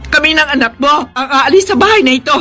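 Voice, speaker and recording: High-pitched, anguished wife. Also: female voice, bright, forceful tone, faint music behind. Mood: sad, resolute. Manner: emotional, tearful, drawn-out delivery. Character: anguished, pleading young wife and mother of principle. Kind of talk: Filipino radio drama acting.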